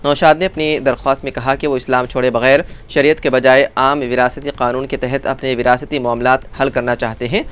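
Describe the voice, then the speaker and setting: A man's voice: mid-range voice, level tone, fairly quick, few pauses, fairly bright tone, clean tone. A man presenting scripted news in a formal bulletin delivery, as a news presenter would.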